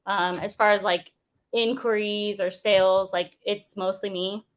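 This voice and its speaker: A woman's voice: mid-range voice, steady tone, unhurried. A woman with a mid-range voice chats as a podcast guest. She is casual and direct, with a light joking touch. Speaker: snake breeder, guest. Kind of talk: casual interview chat.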